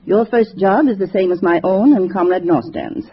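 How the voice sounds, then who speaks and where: A woman's voice: mid-range voice, moderate pace, lightly acted. An older woman organizer as played by an actress lays down orders in an old radio spy drama. She is precise, cold-bloodedly calculating and sure of herself. The recording is muffled and faintly hissy. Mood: commanding. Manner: precise, didactic, assured. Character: gray-haired female communist organizer, sweet-faced, calculating, commanding. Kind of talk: 1950s radio drama acting.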